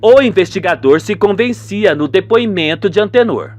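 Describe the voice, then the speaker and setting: A man's voice: high-pitched, animated, normal speed, a little mellow, soft background music. A man with a Brazilian accent giving dramatic scripted narration for a radio soap opera.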